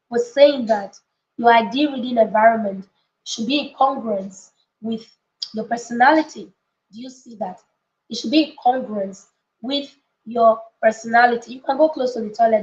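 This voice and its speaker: A woman with a fairly high voice teaches like a motivational coach on a live session. She is emphatic and chatty, repeating her points and checking that listeners follow. The room echoes clearly around her voice, and the sound is slightly dull. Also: darkish tone. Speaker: coach and book club leader hosting a live session. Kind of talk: motivational live teaching with informal chat to viewers.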